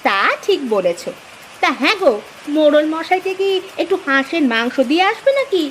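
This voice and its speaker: Very high-pitched village housewife. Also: female voice, big pitch swings, moderate pace, a little hiss or hum, poor audio. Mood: brisk. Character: village housewife, caring yet sharp-tongued with her husband. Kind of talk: Bengali cartoon dubbing.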